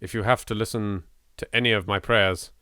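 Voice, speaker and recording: A man's voice: fairly low pitch, fairly even intonation, a little fast, pushed and bright, clean tone, dry recording, clean studio sound. A man with an English accent doing let's play commentary, reading game text dramatically and adding sweary, jittery, sardonic asides. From England. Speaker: video game let's player.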